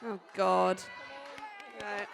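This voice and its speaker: A woman's voice: medium pitch, flat delivery, extremely slow, gravelly, crowd noise. A woman with a Scottish accent and a slight American twang, talking enthusiastically and rambling a little as she leads a panel audience in a dance. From Scotland and Hawaii. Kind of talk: lively casual talk leading a dance. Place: large hall at a festival. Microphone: handheld mic, later put down and heard from further off. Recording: reverberant hall.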